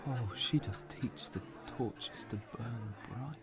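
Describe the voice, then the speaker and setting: Music plays clearly behind a mid-pitched voice, a man acting a brooding, lovestruck young nobleman. He speaks earnest poetic verse in a Shakespearean audio drama. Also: slow pace, close to an everyday voice. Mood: enraptured. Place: home recording. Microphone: phone.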